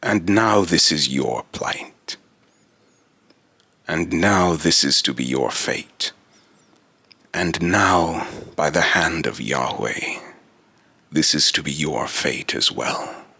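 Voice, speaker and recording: A man voicing a solemn, otherworldly immortal being in a deep, raspy robotic voice, with grandiose, archaic, halting phrasing in a dramatic monologue. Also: animated, bright, forceful tone, no echo, heavily acted. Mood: grave, commanding.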